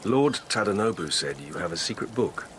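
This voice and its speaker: A man playing a probing, grave investigating lieutenant at an imperial court in a radio drama, speaking in a measured, serious way. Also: on the low side, expressive, a bit bright, a little hiss or hum. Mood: inquisitive. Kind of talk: 2000s radio drama acting.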